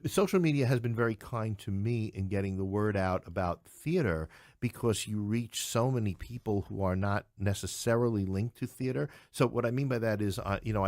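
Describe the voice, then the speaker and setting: Man, middle-aged, lowish pitch, dry studio sound, opinionated, blunt, casual interview guest. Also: a little mellow, no echo. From New York City. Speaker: interview guest, playwright and English professor. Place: podcast studio, in person.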